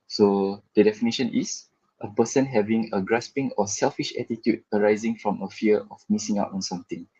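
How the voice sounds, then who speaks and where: A man from Singapore with a Singaporean English accent gives a slide presentation to a class, speaking in a measured way with frequent filler pauses. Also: fairly low pitch, steady tone, darkish tone, husky, dull audio. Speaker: guest presenter.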